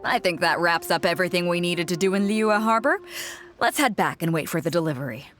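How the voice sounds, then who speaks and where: A woman's voice: on the low side, animated, a little fast, fairly bright tone, soft background music. A woman playing a confident, easygoing pirate captain in a video game, assured and frank. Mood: explaining, confident. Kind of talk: video game character acting.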